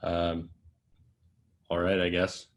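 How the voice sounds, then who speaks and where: A brash young male actor down on his luck, read by a man at a table read talks in a casual, sardonic way. His voice is fairly low. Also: completely flat delivery, takes it slowly.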